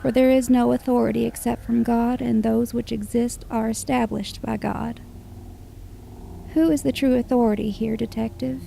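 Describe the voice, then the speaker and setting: A slow, intensely creaky female voice as a cold killer leaving a taunting taped message. The delivery is flat, deliberate and menacing, like a sermon in a crime drama, with faint music. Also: a little high. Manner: measured, deliberate, monotone, menacing. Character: cold, taunting, scripture-quoting serial killer. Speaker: voice actor. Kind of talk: crime audio drama monologue.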